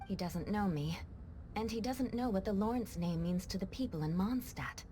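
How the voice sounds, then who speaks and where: A woman voicing a proud, reserved young noblewoman knight in a video game, with measured, formal, poised delivery. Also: mid-range voice, lively intonation, dark tone, slight background noise, lightly acted. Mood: hesitant, reflective. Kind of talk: video game cutscene acting.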